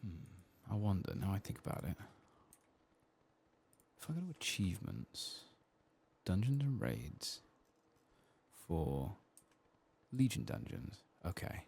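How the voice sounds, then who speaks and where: Soft-spoken gravelly man, ASMR gaming. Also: low voice, takes it slowly, long pauses. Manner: quiet, relaxed, murmuring to himself. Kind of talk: soft-spoken ASMR game playthrough commentary.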